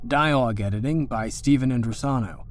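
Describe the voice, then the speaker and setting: A man's voice: medium pitch, fairly quick. A man reading scripted production credits as an announcer.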